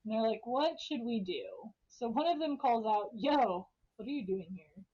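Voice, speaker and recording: A woman's voice: a little high, lively intonation, normal speed, breathy edge, highs cut off. A woman hosting a podcast, retelling a story in a casual, irreverent way with slang and swearing. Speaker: podcast host telling a story.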